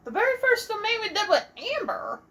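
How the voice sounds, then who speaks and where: A woman hosts a casual let's play in a high, lively voice. She mutters and grumbles to herself as she plays. Faint noise sits behind her. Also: fairly quick. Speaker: gamer, let's play host. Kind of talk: casual gameplay commentary.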